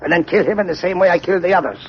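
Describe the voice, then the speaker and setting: A cold-blooded male villain, boastful and deliberate in his menace. The 1930s broadcast recording is muffled, with clear hiss and noise. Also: high voice. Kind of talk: 1930s radio drama acting.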